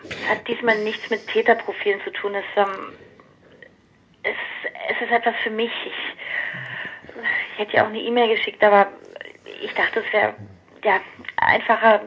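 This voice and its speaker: A very husky, breathy woman leaves a halting message in a radio play. She is troubled and awkward, and the sound is dull like answering machine playback. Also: mid-range voice, little pitch movement, fairly bright tone. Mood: awkward, pleading. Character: troubled woman asking an old acquaintance for help. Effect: answering machine filter. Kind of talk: German radio drama.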